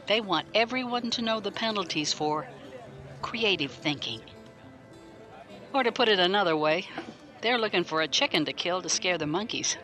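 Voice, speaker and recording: A man's voice: sits high, sharp tone. A man acting a probing, blunt military defense lawyer in a science fiction audio drama, pointed, articulate and wryly skeptical.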